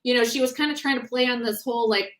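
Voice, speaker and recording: A woman author being interviewed in a casual book discussion, talking in an animated, conversational way and often breaking off mid-thought. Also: on the high side, quick pace.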